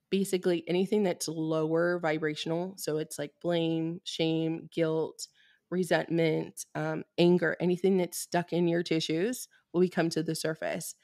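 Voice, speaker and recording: A woman with a notably low, deep speaking voice, recorded close and dry. She talks as a Reiki fertility healer in a podcast interview and explains things steadily, plainly and bluntly, with many "um"s along the way. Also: no echo. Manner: steady, explanatory, blunt at times, with frequent fillers.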